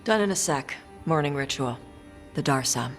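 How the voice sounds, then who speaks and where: A stoic, dutiful warrior, with a fairly high male voice and terse, reflective delivery. It is fantasy game acting in a long reverb with faint music. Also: expressive, normal speed, fairly bright tone. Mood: calm, reflective. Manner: terse, reflective, plain-spoken.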